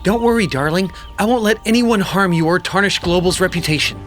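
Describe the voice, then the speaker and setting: A man's voice: high-pitched, fairly quick, fairly bright tone, faint music behind. A man playing a manipulative cheating businessman fiancé, reassuring and scheming, in a romance audio drama. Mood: reassuring, resolute.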